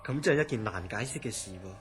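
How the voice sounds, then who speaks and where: An actor with a Hong Kong Cantonese accent playing a curious, easily astonished institute director in a radio drama, questioning with theatrical disbelief. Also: male voice, lowish voice, expressive, fast, soft background music, lightly acted. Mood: puzzled. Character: curious, astonished male institute director hearing eerie story.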